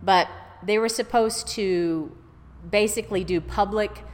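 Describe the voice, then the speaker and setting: A woman's voice: mid-range voice, measured pace, in a large hall. A middle-aged woman as an interview guest, chatting easily about her family history with fillers and restarts. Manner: chatty, easygoing storyteller with fillers. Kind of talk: casual life-story interview.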